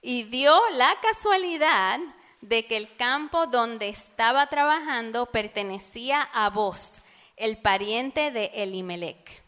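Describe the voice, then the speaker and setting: Female church interpreter, smooth resonant voice, slightly high, long reverb. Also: lively intonation, bright, forceful tone. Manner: quick, fluid rendering phrase by phrase. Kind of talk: live sermon interpretation. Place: church sanctuary.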